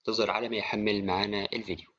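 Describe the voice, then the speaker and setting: A man with an Egyptian accent giving an informal software tutorial, talking to the viewer like a friend. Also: on the low side, flat delivery, very fast. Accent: Egyptian Arabic. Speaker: tutorial presenter. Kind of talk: casual screen-recorded software tutorial. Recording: dry, slightly dull, with clicking.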